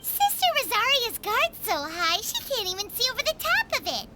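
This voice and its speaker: A woman voicing a small, excitable childlike fairy companion in an anime fantasy video game, squeaky, peppy and cheeky. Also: moderate pace, very noisy, full character voice. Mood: startled, defiant. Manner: peppy, exclamatory, refers to herself in third person.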